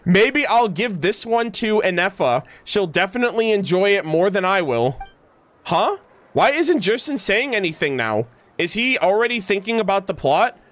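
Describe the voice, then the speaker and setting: A man's voice: high-pitched, sing-song, moderate pace, a bit bright. A man reading the lines of a blunt, impatient young woman in a fantasy game, stumbling here and there. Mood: puzzled.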